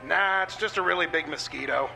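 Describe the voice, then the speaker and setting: A man playing a blunt, folksy middle-aged working-class father in a comic radio play, grumbling and deadpan. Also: fairly high pitch, brisk, creaky, music in the background, low-quality recording. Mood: dry, blunt.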